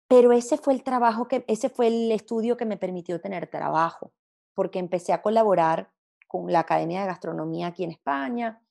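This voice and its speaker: A woman's voice: lowish voice, vocal fry, a little mellow. A woman from Venezuela with a hard-to-place Latin American accent, talking reflectively and expressively as an interview guest. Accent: Spanish of mixed Latin American sound, hard to place. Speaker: interview guest, gastronomy journalist.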